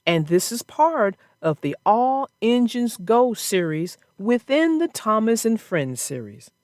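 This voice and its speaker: A woman narrating a children's storybook aloud in an expressive way. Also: fairly high pitch, slow pace, dry recording, clean studio sound.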